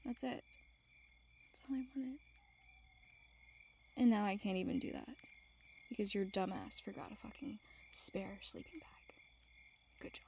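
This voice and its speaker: Woman acting as sulky teasing young woman, slow pace, soft dark-edged tone, breathy, sarcastic, audio roleplay, night crickets behind. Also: fairly high pitch, slight background noise. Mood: upset, exasperated. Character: grumpy, teasing young woman camping with a close friend. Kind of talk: intimate audio roleplay addressed to the listener.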